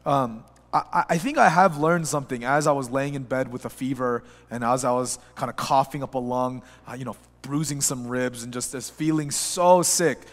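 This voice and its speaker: A man in his thirties, a pastor, preaching a sermon while sick with the flu, talking in a rambling, personal way with many fillers and restarts and building to a higher, louder voice when he preaches. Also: normal speed, hardly any pauses. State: sick with a flu, voice a little rough. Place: church sanctuary. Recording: long church reverb.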